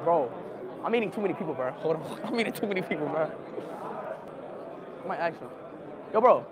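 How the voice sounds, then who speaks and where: Young american guy streaming at a packed gala, high voice, all hyped up, lapel mic, crowd noise everywhere. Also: animated, a little fast, poor audio. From United States. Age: young adult. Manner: loud, hyped, slangy, talks over people. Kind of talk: excited livestream chatter. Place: crowded indoor gala hall. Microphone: small lapel mic. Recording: loud crowd chatter around.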